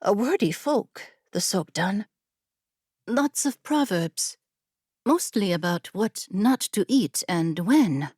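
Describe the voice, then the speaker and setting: A woman's voice: medium pitch, expressive, slow, darkish tone, breathy edge, no echo, clean studio sound. A woman voicing a bookish young woman traveler, eager and wordy, in an audiobook story. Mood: musing.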